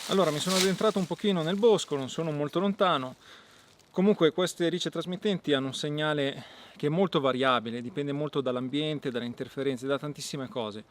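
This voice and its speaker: Guy doing a casual gear review, normal mid pitch, stops and restarts while explaining. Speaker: outdoor gear reviewer and host. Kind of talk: casual product demonstration to viewers.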